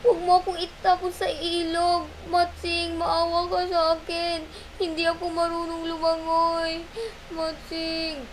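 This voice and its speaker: A woman voicing a gentle but crafty turtle in an amateur puppet show, with a plaintive, pleading manner. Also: extremely high pitch, monotone, a little slow, a little hiss or hum, pitched above the performer's own voice. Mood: mock pleading.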